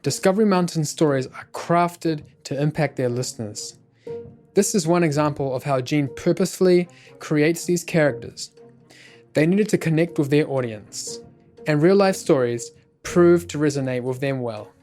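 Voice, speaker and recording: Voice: medium pitch, moderate pace, a little mellow. A documentary narrator reads a script in a polished, explanatory manner. Faint music plays behind the voice.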